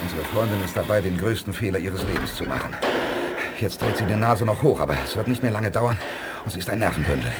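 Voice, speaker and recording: An actor playing a firm, stern astronaut commander in a 1980s German radio drama, speaking insistently. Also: male voice, low voice, expressive, quick pace, heavy background noise. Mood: grim.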